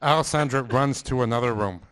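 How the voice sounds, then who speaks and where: A man's voice: mid-range voice, brisk, fairly bright tone, smooth. A man narrating the stage directions of a script.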